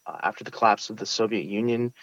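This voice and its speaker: A young man talks in a fairly low voice, earnest and a little halting as he restarts his sentences. The sound is dry and close, and slightly muffled. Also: fairly bright tone, no room sound. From United States (Brooklyn). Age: young adult. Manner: earnest, frequent restarts and fillers. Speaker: interview guest, valedictorian. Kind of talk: casual interview.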